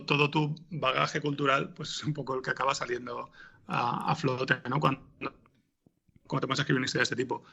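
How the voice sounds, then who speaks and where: A man with a raspy, gravelly voice, answering interview questions in a hesitant way, as if thinking aloud. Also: fairly high pitch, sharp tone. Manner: hesitant, thinking aloud, frequent throat clearing. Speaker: interviewed podcast scriptwriter.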